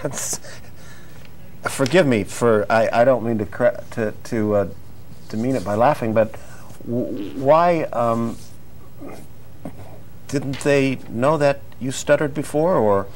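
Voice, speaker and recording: A man's voice: mid-range voice, slow, pauses often, darkish tone, a little hiss or hum. A man hosting a mock-serious comedy interview, asking questions hesitantly and prompting his guest with words. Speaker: interviewer, host.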